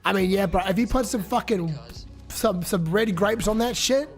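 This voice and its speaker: A man's voice: high voice, moderate pace, faint music behind. A young man with a New Zealand accent who is sick, rambling and swearing casually on a live stream while reading chat aloud.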